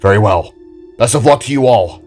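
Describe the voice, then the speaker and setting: A man's voice: on the low side, animated, a little fast, darkish tone, faint music behind, lightly acted. A man voicing a commanding, formal metal-bodied elder-brother warrior in a fantasy audio drama, grave and authoritative.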